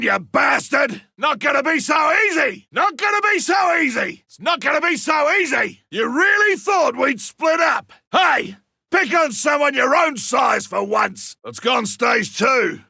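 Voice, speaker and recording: A man playing a boastful, grizzled veteran monster hunter in a video game, with an Australian accent and a rough, gravelly voice, making forceful short calls. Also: very high voice, no echo, clean studio sound, exaggerated voice. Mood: taunting, boastful. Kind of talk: video game combat and tracking barks.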